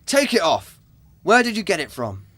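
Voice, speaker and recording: A man's voice: high-pitched, wildly swooping pitch, normal speed, fairly bright tone, no room sound, full character voice. A man playing a bossy, exasperated airport maintenance foreman, curt and scolding, in a radio comedy.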